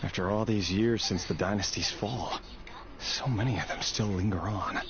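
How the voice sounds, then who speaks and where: An actor voicing a weary, earnest young man with a secret grim trade, speaking in a measured way for a video game. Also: lowish voice, lightly acted. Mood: grave, troubled. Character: weary young man, secret royal executioner, tender but brooding. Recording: dull game audio under loud music.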